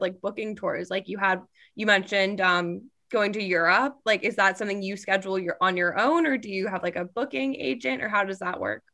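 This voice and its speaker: A woman's voice: medium pitch, pushed and bright. A woman co-hosting a podcast, asking hesitant, filler-laden questions. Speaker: podcast co-host. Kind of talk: casual interview questions.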